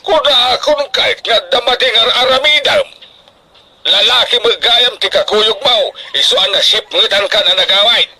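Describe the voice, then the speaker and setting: A man's voice: very high voice, moderate pace, crowd noise, poor audio. A man playing a strict, worrying middle-aged father in an Ilocano radio drama, with an emphatic, scolding manner. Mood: stern, scolding. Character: strict, worrying Filipino father of a student daughter.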